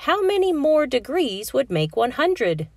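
A woman's voice: high-pitched, very animated, unhurried, no echo, studio quality, pitched above the performer's own voice, heavily acted. A woman voicing a cheerful, encouraging female flamingo schoolteacher in a children's storybook. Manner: bright, encouraging teacherly exclamations.

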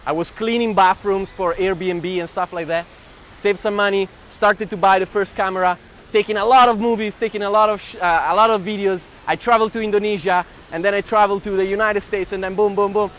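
A man in his twenties with an Italian accent, talking to camera as a travel filmmaker in an animated, exclamatory way, often repeating phrases. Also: sits high, fairly quick. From Italian family, raised near Milan. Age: 20s. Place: outdoors in city squares and streets. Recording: clear urban street noise.

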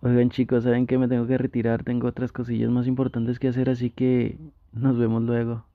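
A man with a Mexican accent playing a flustered, easily tempted gamer boyfriend in an intimate ASMR roleplay, talking in a halting, coaxing way. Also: medium pitch, monotone, no room sound, phone-quality sound, natural voice. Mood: apologetic.

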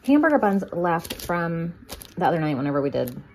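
A woman's voice: lowish voice, very animated, brisk, a little mellow. A woman in her thirties narrating casually while cooking at home, thinking aloud as she works. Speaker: homemaking vlogger. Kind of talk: casual kitchen vlog narration. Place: home kitchen, indoors. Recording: noisy kitchen with clicking sounds.

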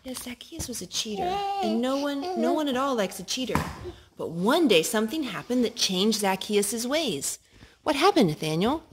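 A woman reading a picture book aloud to a child in an expressive way, pausing to ask questions. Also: fairly high pitch, noisy background. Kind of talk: storytime read-aloud. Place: living room indoors at a couch.

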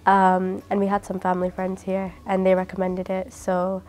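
A woman's voice: medium pitch, monotone, moderate pace. A woman from the UK, a dentistry student answering interview questions in a matter-of-fact way. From United Kingdom. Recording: soft music under the voice.

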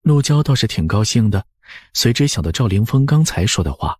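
Male audiobook narrator, very raspy low register, lively intonation. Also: fairly quick, no room sound. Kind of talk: scripted novel narration.

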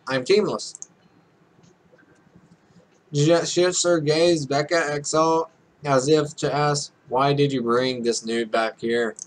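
A man's voice: mid-range voice, a bit bright, rough recording. A young man reading a visual novel aloud as a let's play host, offhand, stumbling over lines and adding casual, sweary commentary. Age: young adult.

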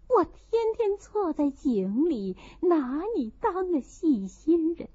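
A woman's voice: sits high, warm tone, heavily acted. Woman acting a stern, tearful noblewoman, slightly muffled. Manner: weeping, sighing reproach. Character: stern middle-aged noblewoman, tearful and accusing.

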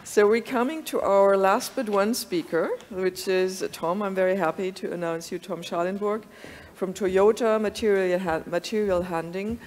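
A woman's voice: mid-range voice, steady tone, normal speed, a little mellow, a little hiss or hum. A woman chairing a conference panel, moderating in an encouraging, conversational way with some fillers. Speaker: session chair. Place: large conference hall. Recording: long hall reverb.

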